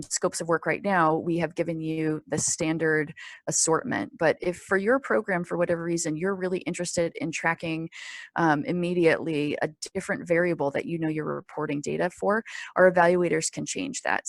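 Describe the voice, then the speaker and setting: A woman presents an informal training webinar in a lowish voice with a gravelly fry. She explains things step by step, halting often between phrases. The sound is close and dry, with hardly any room in it. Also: no echo. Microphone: conference call or webinar microphone.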